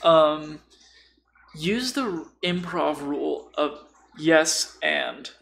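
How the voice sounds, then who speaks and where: A man's voice: a little high, drawn-out words, vocal fry, a bit bright, slight background noise. A man chatting casually and explaining tabletop role-playing with acted-out examples, as an experienced game master.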